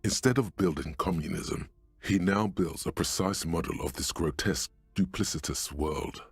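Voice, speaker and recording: An actor playing a grave, sardonic inner voice that narrates a man's bitter thoughts in a video game, solemn and deliberate. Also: low-pitched, slightly rough, no echo, faint music behind, exaggerated voice.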